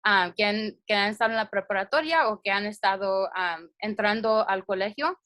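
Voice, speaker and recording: A woman's voice: medium pitch, normal speed, bright, forceful tone, no room sound. A young woman giving a community presentation, earnest and hesitant, with many fillers and restarts.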